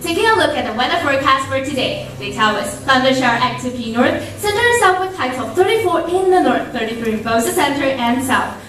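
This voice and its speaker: A young woman acting as a female weather forecaster delivers a scripted bulletin. Her voice is bright and high, with lively intonation, ringing through a very echoey hall. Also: fast talker, hardly any pauses, a little hiss or hum. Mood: bright, presentational. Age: young adult. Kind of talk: student radio drama, scripted reading. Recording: very echoey hall, distant mic.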